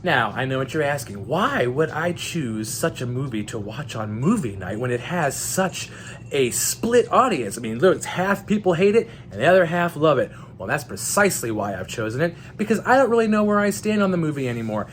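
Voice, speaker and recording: A man's voice: a little high, sing-song, fairly quick, a little hiss or hum. A man hosting a casual movie vlog, chatty and emphatic, with a jokey streak. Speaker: host of a movie night vlog. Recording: loud music bed under voice. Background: music.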